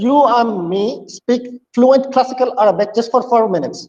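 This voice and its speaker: A man's voice: very high voice, fairly quick, darkish tone, long reverb tail, muffled recording, faint music behind. A man in a heated online debate who stammers and repeats himself, leaning on "you see" as he pleads for time to make his points.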